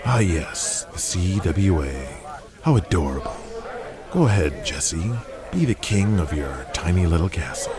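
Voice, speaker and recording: A man's voice: deep voice, expressive, measured pace. A man playing a cynical, blunt, foul-mouthed 1980s wrestling promoter in an audio drama, speaking with authority. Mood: scornful, calculating. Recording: crowd noise behind the voice. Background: arena crowd.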